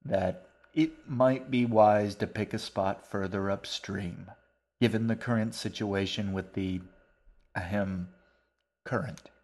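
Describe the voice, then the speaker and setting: Guy doing a kindly wordy old man in a storybook, low-ish voice, takes his time. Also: steady tone, a little slow, a little mellow. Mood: genial. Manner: long-winded, formal, good-natured. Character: genial old bearded man, wordy and grand. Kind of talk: audiobook character voice.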